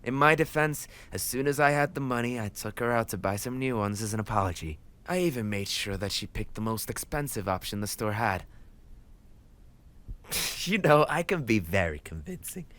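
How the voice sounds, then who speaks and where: A tough gang leader boyfriend played by a man talks in a fairly low voice to his sweetheart. He is playful and fond, teasing and mock-complaining with casual swearing. It is an intimate romantic audio roleplay, with his soft side showing. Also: animated, normal speed. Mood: nostalgic, amused.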